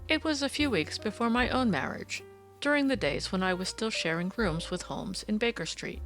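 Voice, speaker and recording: A woman's voice: mid-range voice, lively intonation, normal speed, fairly bright tone, faint music behind. A woman reading a classic story aloud as scripted audiobook narration.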